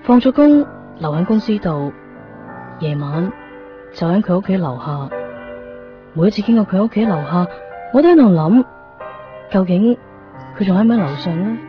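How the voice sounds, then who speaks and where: Woman acting heartsick brooding hong kong girl, cantonese radio monologue, pauses a lot, dull old recording, soft music under. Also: mid-range voice, expressive, lightly acted. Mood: longing, obsessive. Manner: brooding, halting inner monologue. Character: lovelorn young woman obsessed with vanished lover. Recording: muffled 1990s radio drama over music.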